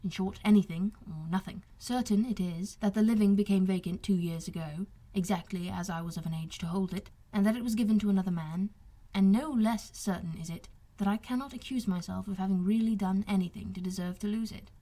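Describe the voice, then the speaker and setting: A young gentleman officer from a period novel , voiced by a female reader. The voice is husky and sits at a middle pitch. He explains his wrongs earnestly, with formal, self-pitying politeness. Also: a little fast, natural voice. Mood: aggrieved. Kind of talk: audiobook character dialogue.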